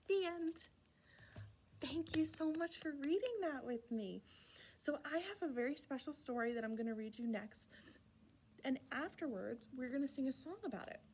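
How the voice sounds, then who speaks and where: A woman reads to small children in a high, very melodic voice. Her pace is unhurried and her manner is playful and encouraging, with exaggerated child-directed delivery. Some background noise sits behind her. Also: darkish tone. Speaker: children's library storyteller. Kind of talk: animated read-aloud and singalong for young children.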